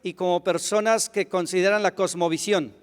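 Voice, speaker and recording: High-voiced interpreter in a hall. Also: male voice, steady tone, smooth. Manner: quick, with hesitant fillers and restarts. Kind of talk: consecutive interpretation of a lecture. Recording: long hall reverb.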